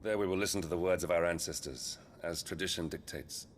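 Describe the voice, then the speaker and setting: An actor playing a weary, commanding middle-aged duke with a British accent, speaking in a measured way in a fantasy game. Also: male voice, low-pitched, fairly even intonation, a little hiss or hum, natural voice. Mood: grave, resolute. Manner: measured, authoritative. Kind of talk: dramatic fantasy game acting.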